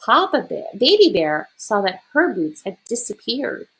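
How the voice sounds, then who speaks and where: Slow, animated woman reading to children. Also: on the high side, breathy edge. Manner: expressive, deliberate. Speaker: storyteller. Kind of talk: children's picture-book read-aloud.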